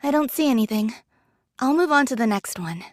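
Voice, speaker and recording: A woman's voice: sits high, animated, brisk, no echo, studio quality. Matter-of-fact young android soldier woman. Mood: thoughtful. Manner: casual, thoughtful, matter-of-fact. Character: pragmatic, modest young female android soldier, quietly caring. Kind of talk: anime game voice acting.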